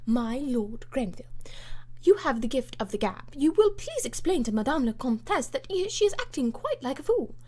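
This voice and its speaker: Woman playing a loud, blunt, high-pitched English noblewoman. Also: very animated, normal speed. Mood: vehement. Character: bluff, coarse-mannered, good-hearted English noblewoman.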